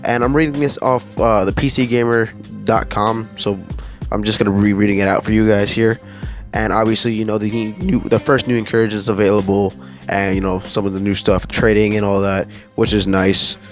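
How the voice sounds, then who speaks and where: A man's voice: on the low side, steady tone, normal speed, faint music behind. A man hosting a gaming channel, casually reading through patch notes and rambling with many fillers and restarts.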